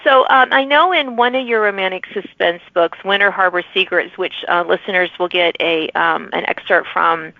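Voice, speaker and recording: A woman's voice: fairly low pitch, moderate pace, few pauses, no echo, narrow, tinny audio. A woman hosting a podcast interview in a casual, chatty, effusive way. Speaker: podcast host and author.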